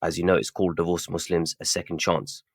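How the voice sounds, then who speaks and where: A man hosting a podcast, speaking in a measured, formal way and opening with Arabic greetings. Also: sits low, level tone, vocal fry, no room sound, clean studio sound. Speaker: podcast host. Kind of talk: podcast hosting with scripted intro.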